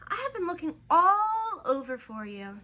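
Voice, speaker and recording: A woman's voice: very high-pitched, very animated, a little slow, sharp tone, a little hiss or hum, voice pushed up, full character voice. A woman voicing a friendly man who looks after his companion in a children's storybook. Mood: worried. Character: friendly man in a yellow hat, storybook guardian. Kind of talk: children's storybook read-aloud.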